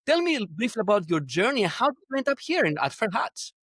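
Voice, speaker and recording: A man's voice: high-pitched, very animated, brisk, a bit bright, dry recording. A man with a Greek accent hosting a conversational medical podcast interview in an effusive, complimentary manner. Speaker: podcast host, oncology professor.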